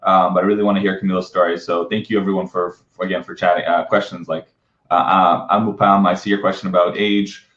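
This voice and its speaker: A man's voice: deep voice, flat delivery, fast, a bit bright. A man hosting a casual live webinar interview in an upbeat, informal, chatty way. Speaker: webinar host. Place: indoor room, live online video call. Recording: roomy echo, dull lo-fi webcam sound.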